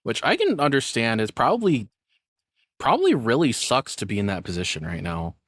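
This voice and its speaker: A man's voice: medium pitch, wildly swooping pitch, fairly quick, a bit bright, slightly rough, no echo, studio quality. A young man doing casual reaction commentary as a streamer, rambling as he thinks aloud. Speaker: VTuber streamer reacting to a video.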